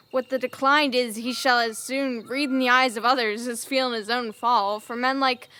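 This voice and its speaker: A young woman plays a proud Greek warrior in a Shakespearean audio drama. The voice is bright, forceful and fairly high, recorded close and dry. The delivery is haughty and declamatory. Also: no room sound. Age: young adult. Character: Achilles, proud, aloof male Greek warrior.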